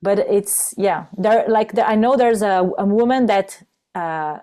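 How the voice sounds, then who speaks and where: A woman whose first language is Portuguese, speaking English with an accent on a video call, halting with many restarts. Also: medium pitch, dark tone. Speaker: trainer for mothers. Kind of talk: casual video-call interview.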